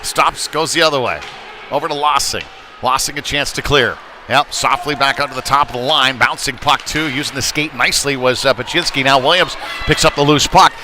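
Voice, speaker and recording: Male hockey play-by-play commentator, conversational and folksy, recorded amid clear arena noise. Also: mid-range voice, fairly quick. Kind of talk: live youth hockey game commentary. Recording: noisy rink ambience behind voice.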